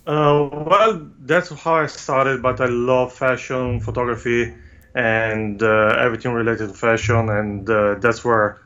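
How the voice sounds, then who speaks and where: A man from Italy with an Italian accent, talking about his photography in a hesitant way with many pauses, over a video call. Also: mid-range voice, fairly even intonation, sharp tone, muffled recording. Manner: hesitant, frequent "uh" pauses, non-native phrasing. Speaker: guest photographer. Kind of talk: remote interview, casual explanation. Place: home studio.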